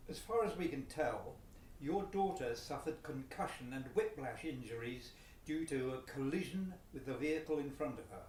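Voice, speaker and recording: A British actor playing a polite, sober policeman with a formal, measured delivery in a radio play. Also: mid-range voice, lively intonation, a little mellow, lightly acted. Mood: grave, sympathetic. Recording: echoey, distant, noisy room.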